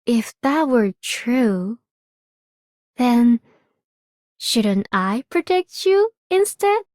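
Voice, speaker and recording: Sweet, very high-voiced young game girl. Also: sing-song, drawn-out words, a little mellow, dry recording, studio quality, heavily acted. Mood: earnest, questioning. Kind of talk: scripted game character lines.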